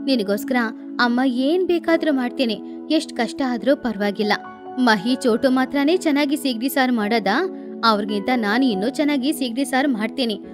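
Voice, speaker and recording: Woman as a high-voiced devoted mother sparrow. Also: normal speed, natural voice. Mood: reassuring. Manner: soothing, motherly, earnest. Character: devoted, protective mother sparrow, poor but determined. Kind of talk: children's animated bedtime story dialogue. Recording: music behind the voice.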